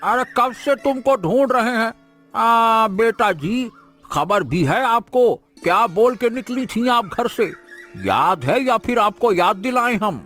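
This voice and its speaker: A man's voice: very high-pitched, lively intonation, slow, a bit bright, soft background music, full character voice. An actor playing a fussy middle-aged village father in a cartoon, scolding in a wheedling, mock-polite way.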